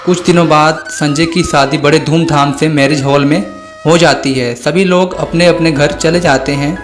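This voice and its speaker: Male storyteller for scripted horror narration, slightly high pitch, soft music bed. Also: steady tone, normal speed.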